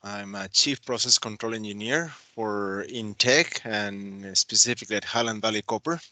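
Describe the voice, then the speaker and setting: Peruvian-accented engineer, earnest and halting; bright voice, dry close mic. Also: male voice, fairly low pitch, no room sound. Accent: Peruvian Spanish. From Peru. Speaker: process control engineer. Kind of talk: webinar self-introduction.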